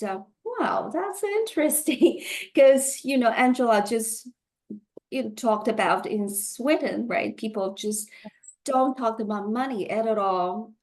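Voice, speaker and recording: Slow, halting female coach. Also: medium pitch, darkish tone. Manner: slow and halting, with fillers and laughs. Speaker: money mindset coach. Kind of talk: guest interview. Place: Vancouver, Canada.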